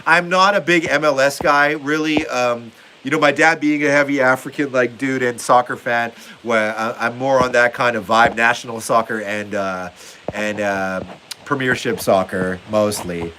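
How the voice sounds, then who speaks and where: A man's voice: medium pitch, fairly even intonation, normal speed, sharp tone, slight background noise, low-quality recording. A young man hosting a casual live stream from his basement, reading viewer comments aloud in a rambling, upbeat way full of filler words. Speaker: livestream host reading chat. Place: home basement. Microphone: phone.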